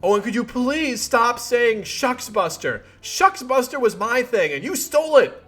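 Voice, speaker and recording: An exasperated young woman as a man acts her out scolds in a high, forceful voice. She is biting and emphatic, raised to the edge of a shout, with music behind. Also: expressive, unhurried, heavily acted.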